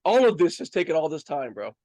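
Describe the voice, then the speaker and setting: A man with a notably high voice hosts a casual review show. He talks with slangy, emphatic enthusiasm, like a fan chatting with a friend. The sound is dry and close, with no room echo. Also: big pitch swings, fast, dark tone.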